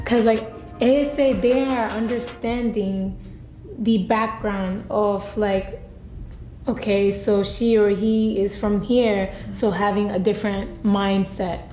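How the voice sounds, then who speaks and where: A woman's voice: medium pitch, steady tone, slow, darkish tone, reverberant, soft background music, rough recording. A young woman from Japan with a Japanese accent, answering interview questions frankly with lots of "like" fillers. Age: young adult. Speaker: student interviewee.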